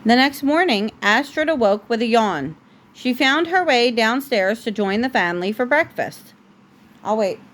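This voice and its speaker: A woman's voice: fairly high pitch, lively intonation, measured pace, a bit bright. A woman reading a storybook aloud as a parent at home, with casual asides and the odd stumble over words. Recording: noisy home recording.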